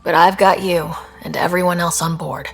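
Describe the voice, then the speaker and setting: A young woman leading a space expedition in a video game. Her voice sits fairly low with a gravelly fry at the edges. She sounds steady and earnest, like a former peacekeeper. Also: moderate pace.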